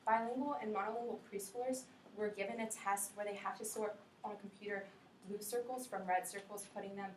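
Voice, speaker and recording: A young woman delivers an informative class speech, earnest and often restarting her sentences. Her voice sits in a middling pitch range. The recording is distant and roomy, with a faint hiss in the background. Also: fairly even intonation, fairly quick. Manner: earnest, frequent restarts and self-corrections. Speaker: student giving a class speech.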